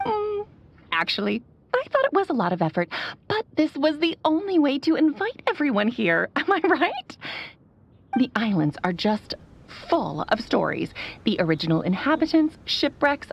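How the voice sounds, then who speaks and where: A woman's voice: on the high side, big pitch swings, moderate pace, vocal fry, muffled recording, heavily acted. A woman playing a theatrical, teasing, doting sorceress mother in a video game. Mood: cheerful, playful. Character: playful, theatrical, doting sorceress mother speaking through a phonograph. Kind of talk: animated video game dialogue. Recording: music behind the voice.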